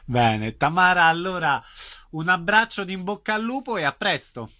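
A man's voice: high-pitched, lively intonation, normal speed, bright, forceful tone. A man hosting a radio interview, introducing guests and prompting them. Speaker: radio host.

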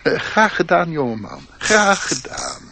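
Doddering old ant, wildly swooping voice. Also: male voice, on the high side, big pitch swings, unhurried, gravelly, pushed and bright, slight background noise, heavily acted. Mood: self-important. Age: older. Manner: doddering, repeats his words. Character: chatty, self-important old male ant, proud and warlike. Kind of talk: radio play acting.

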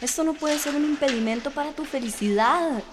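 Actress as high-voiced reassuring young girlfriend. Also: fairly quick, natural voice. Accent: Costa Rican. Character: supportive, loving, selfless young girlfriend. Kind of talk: intimate radio drama dialogue. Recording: clear background noise.

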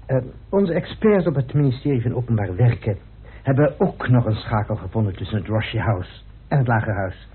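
An actor playing an earnest, deferential official who explains formally in an old radio play. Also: male voice, medium pitch, expressive, a little fast, close to an everyday voice. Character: earnest, deferential government official presenting evidence. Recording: scratchy lo-fi old radio recording.

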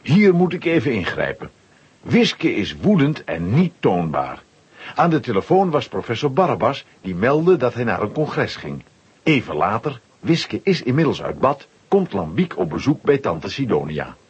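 A man's voice: medium pitch, very animated, moderate pace, muffled recording, slight background noise. A man narrating a children's audio drama in scripted storytelling style, addressing listeners directly and playfully.

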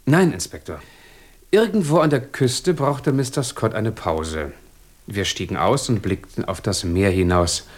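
A learned doctor giving testimony in a radio crime play. His lowish voice moves in lively swings, courteous, articulate and earnest, in standard German. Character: cultured, courteous male scholar giving evidence as victim. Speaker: actor playing a witness.

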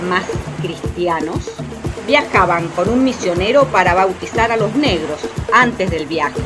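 A woman's voice: fairly low pitch, expressive, unhurried, music in the background. A woman reading scripted dramatic narration for a radio play.

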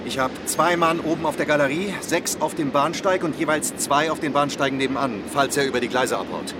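A man's voice: a little high, a little fast, very noisy, close to an everyday voice. An actor playing a businesslike male police chief running a stakeout in a radio crime drama.

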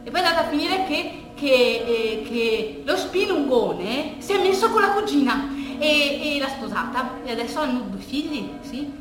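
A woman performing a colloquial, bittersweet theatrical monologue, telling it in a halting, conversational way with hushed asides. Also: sits high, lively intonation, music in the background. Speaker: monologue actress and narrator. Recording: very roomy, distant stage recording, faint noise.

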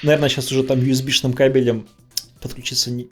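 A man's voice: mid-range voice, level tone, quick pace, over music. A man in a casual tech discussion, talking informally like someone telling stories from work. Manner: informal, storytelling. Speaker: podcast guest.

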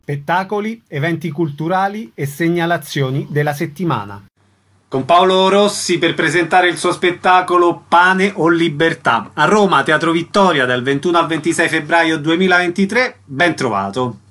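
A man's voice: on the high side, moderate pace, a bit bright, faint music behind. A man hosting a radio programme, reading announcements and putting questions to a guest. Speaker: radio host. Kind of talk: scripted radio announcing and interviewing.

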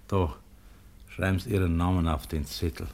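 Gentle low-voiced Bavarian monk. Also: male voice, fairly even intonation, moderate pace. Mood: reassuring. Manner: mild, kindly, unassuming. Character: gentle, patient Capuchin lay brother feeding the poor. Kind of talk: German radio drama acting.

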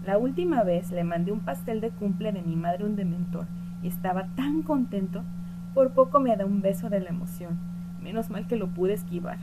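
Casting: woman as chatty, scatterbrained young witch, mid-pitched, amateur radio play, heavy noise on the recording. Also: normal speed, close to an everyday voice. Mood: sheepish, chatty. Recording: very noisy home-made recording.

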